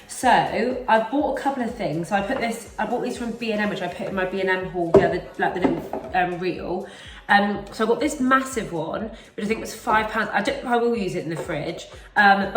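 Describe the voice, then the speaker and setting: A young woman with a cold-roughened voice, chatting casually to camera in her kitchen and often correcting herself. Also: medium pitch. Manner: chatty, rambling, self-correcting. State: hoarse with a cold. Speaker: cleaning vlogger. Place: home kitchen, indoors. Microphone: camera mic at a few feet. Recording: roomy kitchen echo, mic at a distance, soft music.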